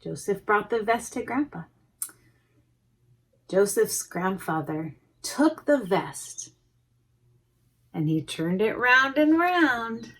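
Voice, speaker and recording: A woman reading a picture book aloud to young children, expressively, pausing to comment on the pictures. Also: mid-range voice, sing-song, a bit bright. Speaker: preschool educator reading a storybook. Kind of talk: read-aloud storytime with asides to young children.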